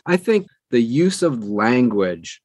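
An older man who narrates audiobooks, talking conversationally in an interview with measured, emphatic phrasing and deliberate pauses. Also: medium pitch, sing-song, darkish tone, dry recording, clean studio sound.

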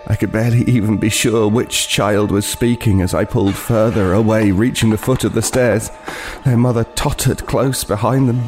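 An actor playing a haunted, remorseful homeless killer, narrating a horror audio drama gravely and with anguish. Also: male voice, on the low side, fairly even intonation, normal speed, darkish tone, faint music behind, lightly acted.

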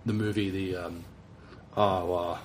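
Guy on a podcast, fairly low voice, stumbles over words, some noise behind him. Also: little pitch movement. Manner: halting, repeats words as he searches for phrasing. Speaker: podcast co-host. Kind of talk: casual chat reviewing a TV series.

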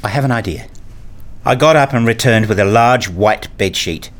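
A man narrating a first-person radio story in a measured, reflective way, also voicing the father's lines. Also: on the low side, noisy background. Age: middle-aged. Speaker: narrator and lead actor playing a father.

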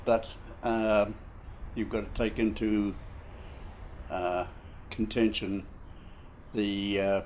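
An elderly New Zealand man in his nineties, reminiscing. His voice sits low and moves slowly, with frequent pauses, fillers and restarts. There is a faint hum of background ambience. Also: steady tone, a little mellow. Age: 90s. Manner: slow, halting, many fillers and restarts. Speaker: interviewee, war veteran. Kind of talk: casual reminiscing interview.

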